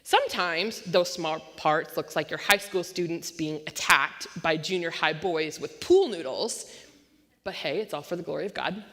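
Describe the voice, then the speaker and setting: Woman pastor, loud bright voice, quick delivery, joking asides, long church reverb. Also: lowish voice. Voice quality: unusually loud voice. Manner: self-deprecating joking asides; tends to speak fast. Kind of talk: sermon. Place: church sanctuary.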